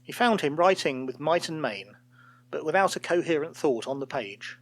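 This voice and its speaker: A man reading a scripted fairytale as a storyteller, in an expressive, theatrical way. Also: on the high side, normal speed, no room sound.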